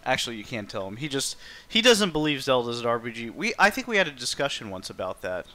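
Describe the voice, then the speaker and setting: A man with a bright, forceful voice streams a video game. He talks off the cuff in a casual, conversational way, commenting on his play and chatting to viewers. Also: medium pitch, sing-song, fast talker. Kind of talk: casual livestream chat and gameplay commentary.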